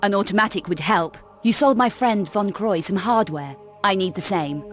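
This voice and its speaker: A woman's voice: medium pitch, normal speed, faint music behind, lightly acted. A woman playing a brash, cool adventurer heroine in a video game, with a curt, sardonic and defiant manner. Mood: businesslike, guarded. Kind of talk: video game cut-scene acting.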